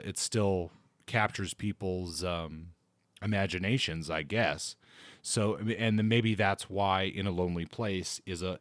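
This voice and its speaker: A man's voice: sits low, dry recording, studio quality. A man co-hosting a book podcast, explaining background in a casual way and often circling back to rephrase his points. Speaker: podcast co-host discussing books.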